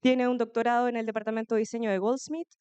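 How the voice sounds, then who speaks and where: A woman hosting an event, reading a formal introduction of the guest quickly, with a few fillers. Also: on the high side, little pitch movement, a little mellow, smooth, dry recording, dull audio. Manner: fast, with fillers at the start.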